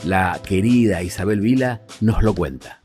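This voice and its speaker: A man's voice: low-pitched, measured pace, a little mellow, breathy edge. An Argentine man reading scripted documentary narration as a narrator. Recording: music behind the voice.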